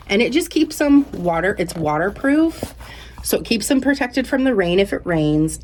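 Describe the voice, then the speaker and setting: A young woman talking casually to her viewers, a little stuffy and out of breath from a cold, upbeat and chatty. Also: on the low side. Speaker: vlogger. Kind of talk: casual show-and-tell vlog.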